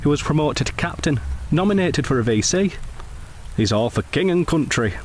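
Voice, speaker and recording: An actor playing a gentlemanly, quietly wounded Edwardian war veteran in a radio play, polite, understated and gently teasing. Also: male voice, fairly high pitch, animated, normal speed, slight background noise, natural voice. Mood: guarded, wry. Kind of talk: scripted radio drama dialogue.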